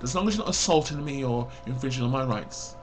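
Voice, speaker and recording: A man's voice: medium pitch, expressive, fast talker, noisy background. A man of forty talking frankly and bluntly to a webcam in a personal confessional vlog, rambling and reflective. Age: 40s. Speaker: vlogger.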